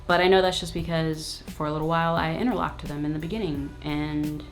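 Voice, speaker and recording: Low-voiced woman chatting frankly on her vlog. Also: fairly even intonation, fairly quick, faint music behind. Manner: frank, slangy, conversational. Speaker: YouTube host. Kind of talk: casual Q&A vlog.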